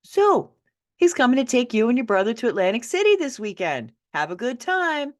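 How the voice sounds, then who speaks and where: Female narrator as dismissive, harsh mother for an audiobook; loud, raised pitch, snappish. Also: very animated, normal speed. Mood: brisk, dismissive. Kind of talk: acted audiobook dialogue.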